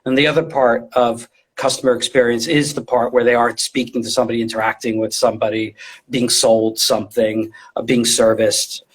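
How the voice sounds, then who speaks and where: A middle-aged man with a medium-pitched voice talks as a guest expert in an interview. He explains informally and conversationally. He often repeats a word or two while he works out the phrase. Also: little pitch movement, a little fast, breathy edge. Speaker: guest, retail design and visual merchandising specialist.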